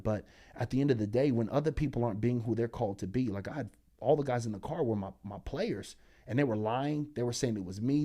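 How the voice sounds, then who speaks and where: A man in his twenties, a pastor and speaker, telling his story as a podcast guest in animated, slangy talk. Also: mid-range voice. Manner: animated, slangy storytelling that climbs loud when excited.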